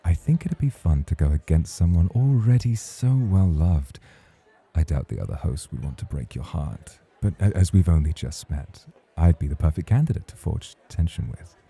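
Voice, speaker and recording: An extremely low, very dark and mellow male voice with a husky edge , acted by a man. He is a self-assured star nightclub host in a romantic ASMR roleplay, courtly and playfully provocative with the listener. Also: expressive, normal speed, heavily acted. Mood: teasing, courting.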